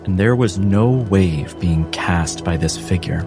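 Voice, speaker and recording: A man narrating a tabletop game as game master, in deliberate, dramatic phrases with atmospheric pauses, improvising the scene. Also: sits low. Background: music under the voice.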